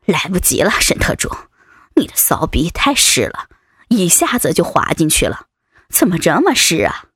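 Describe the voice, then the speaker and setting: A woman voicing a domineering, taunting male vice president in an adult audiobook, with a husky, creaky voice and a mocking, commanding manner. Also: on the low side, wildly swooping pitch, normal speed, fairly bright tone, no room sound, clean studio sound, pitched below the performer's own voice, full character voice. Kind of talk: dramatized adult audiobook dialogue.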